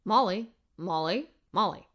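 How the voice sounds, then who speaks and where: Woman acting friendly female talking dog, calm, knowing, gently matter-of-fact, bright voice, children's storybook. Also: mid-range voice, unhurried, voice pushed down. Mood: calling softly.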